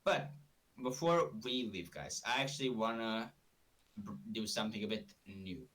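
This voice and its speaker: A man's voice: lowish voice, animated, moderate pace, a bit bright. A man from Romania, hosting a casual live stream in a rambling, self-correcting way, a bit far from the mic. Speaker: streamer host.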